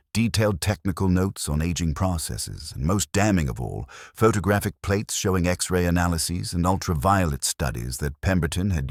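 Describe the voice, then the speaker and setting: A man narrating a detective story in a measured, formal storytelling manner. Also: low-pitched, dry recording, clean studio sound. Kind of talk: scripted audiobook narration.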